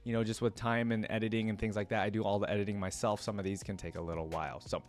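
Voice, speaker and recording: A man's voice: fairly low pitch, level tone, quick pace. A man giving casual, unscripted commentary to viewers as a reaction video host, rambling and emphatic in a conversational way.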